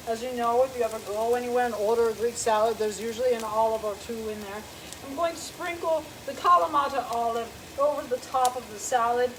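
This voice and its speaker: High-pitched lady with a light americanized german accent, fussy homey cooking host, soft sizzle in the background. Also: slight background noise, low-quality recording. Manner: prim, fussy, homey, narrates each step. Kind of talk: chatty cooking demonstration. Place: home kitchen. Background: food frying in a pan.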